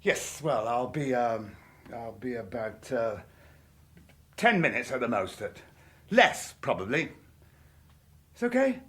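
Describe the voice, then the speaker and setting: A man's voice: mid-range voice, big pitch swings, slow, pauses often, a bit bright. A man acting in a British radio drama as a flustered, evasive middle-aged Englishman, hesitant and blustering. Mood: sheepish. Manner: hesitant, bluff, stammering evasions.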